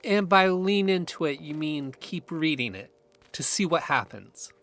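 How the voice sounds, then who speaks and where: A man plays a grumbling, casual young guy in an audio drama. His voice sits a little high and moves around a lot in pitch, with blunt phrasing. Music plays clearly underneath. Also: lively intonation, measured pace, a bit bright. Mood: earnest, frank. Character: grumbling but committed young man among haunted housemates. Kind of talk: naturalistic audio drama dialogue.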